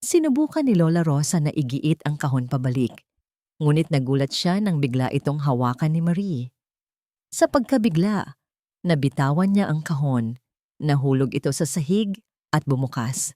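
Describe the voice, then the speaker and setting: A woman's voice: low voice, big pitch swings, moderate pace, dark tone, no echo, studio quality. A woman narrating a scripted dramatic family story as a storyteller.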